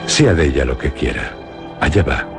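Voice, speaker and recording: A man reads a horror tale aloud in a solemn, deliberate way. His voice is extremely deep. Music plays clearly beneath his narration. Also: very animated. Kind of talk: scripted literary narration.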